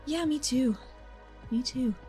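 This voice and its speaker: Woman as an earnest handler, higher-pitched voice. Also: warm tone, faint music behind. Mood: weary, defensive. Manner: informal, halting explanations. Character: earnest, frank female handler defending her troublesome partner.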